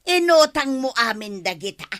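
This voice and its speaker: A scheming neighbourhood gossip in an Ilocano radio comedy. Her Filipino voice is high and piercingly bright, pushy in manner, recorded dry. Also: very animated, moderate pace, no room sound. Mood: nagging. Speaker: radio drama actress. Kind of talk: broad radio comedy acting.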